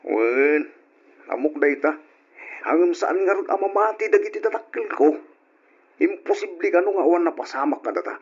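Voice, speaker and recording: A volatile, high-voiced young husband. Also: male voice, expressive, normal speed, slightly rough. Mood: indignant, pleading. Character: hot-tempered, lazy, abusive young Ilocano husband. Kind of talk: old-time radio drama acting. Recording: dull, lo-fi old radio recording.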